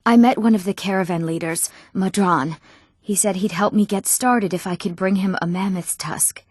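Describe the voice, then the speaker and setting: A woman's voice: mid-range voice, steady tone, moderate pace, darkish tone, breathy edge, dry recording, close to an everyday voice. Casting: actress for young female fantasy villager, eager, earnest, well-spoken, mid-range voice, game dialogue, dry studio sound. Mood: hopeful, friendly. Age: young adult.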